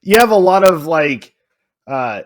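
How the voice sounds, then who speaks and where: A man's voice: a little high, expressive, a little slow, slight background noise. A man co-hosting a podcast, talking casually and thinking aloud as he goes. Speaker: podcast co-host.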